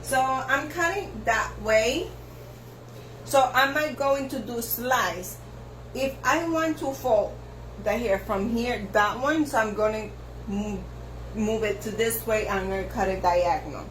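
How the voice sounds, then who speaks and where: Spanish-speaking lady stylist talking thru a haircut, kinda high voice, echoey salon. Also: a bit bright, slight background noise. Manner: slow, step-by-step instruction with repetitions. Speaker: hairstylist. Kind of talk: haircut tutorial narration. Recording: echoey room with faint ambience.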